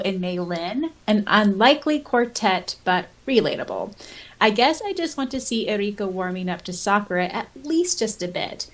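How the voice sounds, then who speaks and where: Woman, mid-range pitch, podcast co-host, casual fan talk, expressive, informal, reading comments aloud.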